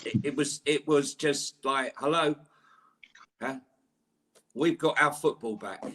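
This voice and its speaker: A man co-hosting a live fan podcast, excitable and stammering through his points. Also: on the high side, slow, a little hiss or hum. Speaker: podcast co-host.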